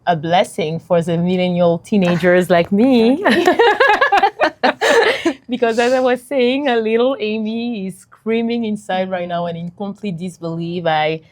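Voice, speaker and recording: A young adult woman with a French accent, interviewing a guest with excited, effusive enthusiasm and frequent laughter. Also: mid-range voice, unhurried. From France.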